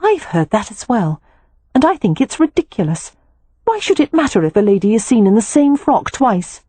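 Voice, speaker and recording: A woman's voice: on the high side, sing-song, normal speed, dark tone, breathy edge, no room sound, voice pushed up. A woman voicing a young wife who is frank and restless, speaking earnestly and objecting readily.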